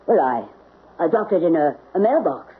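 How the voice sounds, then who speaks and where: Nervous, stammering servant, tinny old radio. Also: male voice, a little high, very animated, normal speed, slightly rough, heavily acted. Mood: nervous, evasive. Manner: hesitant, stammering. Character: nervous, evasive servant afraid of his boss. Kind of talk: old-time radio drama acting.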